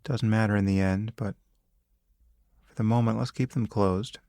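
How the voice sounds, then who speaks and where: A man with a fairly low voice and a noticeable creak guides a meditation. He speaks as a teacher, calm and deliberate, leaving long pauses between short phrases. The sound is dry, with no room around it. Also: no echo. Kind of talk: guided meditation, spoken instruction.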